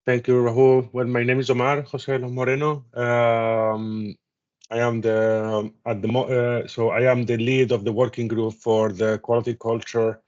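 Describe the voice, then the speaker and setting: A man from Spain with a Spanish accent, introducing himself as a panelist, speaking haltingly with many fillers. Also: mid-range voice, little pitch movement, darkish tone, dull audio. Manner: halting, many fillers and restarts, occasional laugh.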